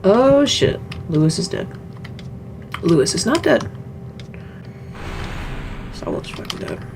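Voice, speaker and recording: Gravelly muttering game streamer. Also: mid-range voice, sing-song, slow, background noise. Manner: mutters to self, casual swearing. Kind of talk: casual gameplay commentary.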